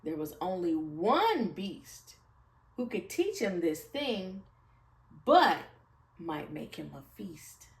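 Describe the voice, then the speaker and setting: Woman reading a kids picture book, slow and super expressive, echoey room, bit far from mic. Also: lowish voice. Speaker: storyteller. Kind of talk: expressive children's picture-book read-aloud.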